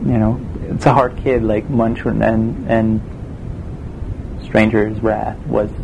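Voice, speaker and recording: A man with a Swiss German accent, a game production designer answering interview questions in a relaxed, hesitant way with many fillers. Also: fairly low pitch, slow pace, creaky, lo-fi sound, background noise. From Switzerland.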